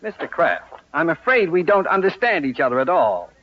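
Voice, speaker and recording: An actor playing an exasperated, gullible middle-aged homeowner in an old-time radio comedy, indignant and sputtering. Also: male voice, a little high, very animated, brisk, muffled recording. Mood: flustered, indignant.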